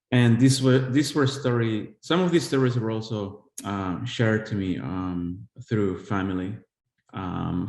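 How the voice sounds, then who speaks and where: Halting low-voiced man in an echoey room. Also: darkish tone. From El Salvador. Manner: hesitant, halting, full of pauses and restarts. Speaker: visual artist giving a talk. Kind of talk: live-streamed artist presentation.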